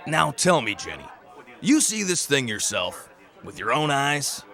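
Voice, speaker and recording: A man's voice: mid-range voice, very animated, a little slow, music in the background. An actor playing a blunt, mocking skeptical man in an audio drama. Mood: scoffing. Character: skeptical scoffing man doubting monster tales. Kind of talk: amateur audio drama acting.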